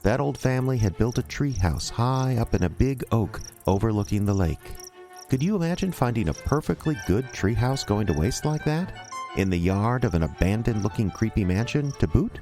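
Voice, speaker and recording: A man's voice: on the low side, animated, normal speed, warm tone. A man acting as a folksy storyteller, narrating an audio drama directly to listeners. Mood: folksy, wistful. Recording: music behind.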